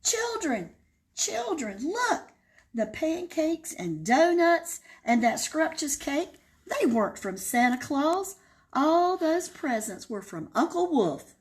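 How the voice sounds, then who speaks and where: A woman voicing an excited mother hen in a children's story, with a high, screechy way of talking. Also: takes it slowly, full character voice.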